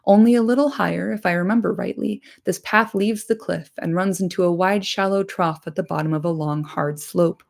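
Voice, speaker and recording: A woman plays a grave old male wizard from a fantasy storybook. The voice sits fairly low with a marked creak, firm and commanding as it counsels companions. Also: steady tone, brisk, hardly any pauses.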